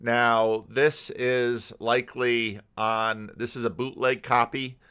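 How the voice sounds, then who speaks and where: A man talks off the cuff in a bright, forceful voice at an ordinary pitch. He wanders through his commentary casually, stopping and restarting phrases as he thinks aloud. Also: flat delivery, smooth. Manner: rambling, halting, restarts sentences. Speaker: YouTube commentator and pastor.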